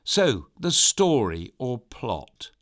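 Playful, lively male children's storyteller. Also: mid-range voice, extremely slow. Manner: playful, theatrical, explanatory. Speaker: host and reader of a children's story show. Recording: clear music behind voice.

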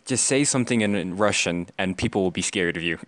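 A man's voice: low voice, lively intonation, normal speed, a bit bright, no room sound. A young adult American man talking casually and reflectively in a conversational interview. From United States. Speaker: language learner being interviewed.

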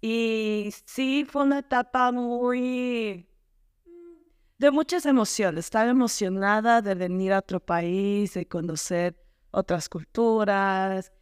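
A woman's voice: medium pitch, takes it slowly, smooth, dry recording, clean studio sound. A woman with an Ecuadorian accent telling her story casually as a podcast guest, often searching for words. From Quito, Ecuador.

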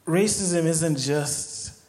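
A young man from Kenya giving a reflective personal testimony, pausing often with fillers as he tells his story. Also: fairly high pitch, darkish tone. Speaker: guest speaker.

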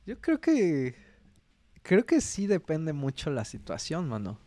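A young Mexican man who co-hosts a podcast and speaks in a Mexican accent, telling stories in a relaxed way and acting out the people he quotes. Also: on the high side, slow pace, no room sound. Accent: Mexican Spanish. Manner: chatty storyteller, acts out quoted voices, says "mano" a lot. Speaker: podcast co-host. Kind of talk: casual chat.

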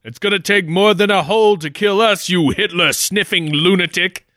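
An actor playing a defiant, wisecracking adventurer sidekick in a comic 1940s-style radio play. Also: male voice, high voice, moderate pace, bright, forceful tone, no echo, studio quality. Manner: quippy, defiant.

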